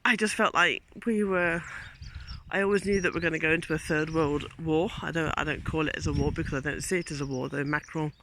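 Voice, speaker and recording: A man's voice: fairly high pitch, fairly even intonation, brisk, pushed and bright, a little hiss or hum. A man, a teacher, musing in a rambling, reflective way on a casual walk outdoors in the countryside, filmed on a handheld phone. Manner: rambling, reflective, loses train of thought. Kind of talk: casual vlog monologue. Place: outdoors in countryside while walking.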